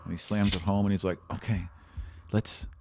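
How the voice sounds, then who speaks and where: A man's voice: on the low side, level tone, normal speed, background noise. A man speaking casually as a game master, improvising narration for a tabletop roleplaying game. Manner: casual, conversational.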